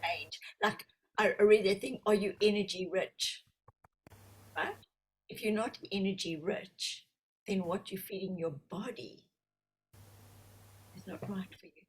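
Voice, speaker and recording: A woman co-hosting a casual podcast conversation. Her voice is husky and slightly breathy. She talks in a reflective way and slips in small jokes. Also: mid-range voice, a little slow, pauses often, rough recording. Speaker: hypnotherapist, podcast co-host. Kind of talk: casual chat.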